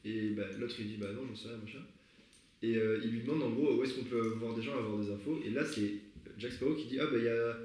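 Quick young man in echoey room. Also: mid-range voice, darkish tone. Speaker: podcast member brainstorming a comedy script. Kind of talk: casual slangy group chat.

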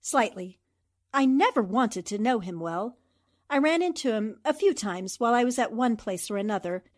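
An actress playing a plucky young newspaper reporter in a radio crime drama, cool, quick-witted and dryly wry. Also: female voice, fairly high pitch. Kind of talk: old-time radio crime drama acting.

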